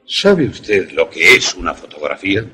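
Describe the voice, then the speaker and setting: An actor playing an older professor in a suspense radio drama, an obsessive inventor who explains things in a deliberate, didactic, husky way. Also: male voice, deep voice, big pitch swings, bright, forceful tone, full character voice. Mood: didactic, proud. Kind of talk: Spanish-language suspense radio drama acting.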